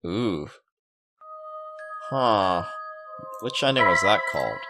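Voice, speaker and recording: A young man with a fairly low voice narrates a visual novel as a gaming host. He mixes reading aloud with casual asides. Loud music plays behind him. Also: expressive, slow. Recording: music over the voice. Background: loud game music.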